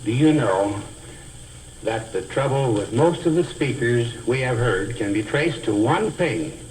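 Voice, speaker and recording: A man giving a scripted instructional lecture as a speech teacher, in a deliberate, carefully enunciated, didactic manner. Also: mid-range voice, animated, poor audio. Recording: hissy old educational film soundtrack.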